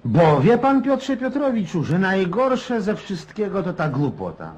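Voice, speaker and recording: An actor playing a garrulous, cynical old Cossack in a radio play, rough-edged and folksy, prodding his listener with questions. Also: high-pitched, huge pitch swings, measured pace, a little mellow, slight background noise, exaggerated voice. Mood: folksy grumbling.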